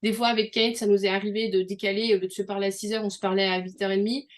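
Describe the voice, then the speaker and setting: A woman's voice: mid-range voice, little pitch movement, rapid-fire. A middle-aged woman from France talking casually in an interview, chatty and full of restarts as she explains with examples. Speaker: host.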